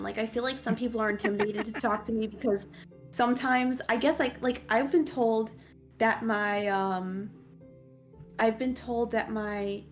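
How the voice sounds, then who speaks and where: A woman from the United States with a fairly high voice, talking as a guest on a casual stream. She is animated and expressive and keeps poking fun at herself. Faint music plays behind her. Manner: self-deprecating, animated and expressive.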